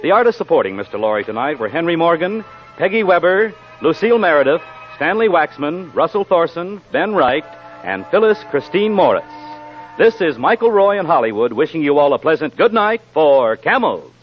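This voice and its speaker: A man's voice: on the high side, very animated, normal speed. An American man announcing sponsor messages and credits in polished 1940s radio style. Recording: dull 1940s radio broadcast with music.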